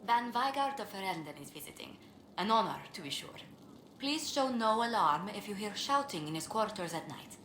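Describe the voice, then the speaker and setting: A woman playing a courteous, tactful court diplomat in a fantasy game, speaking in a polite, measured, formal way. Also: mid-range voice, lively intonation, a bit bright, natural voice. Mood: courteous, composed. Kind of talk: scripted game dialogue. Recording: echoey room, clearly noisy game capture.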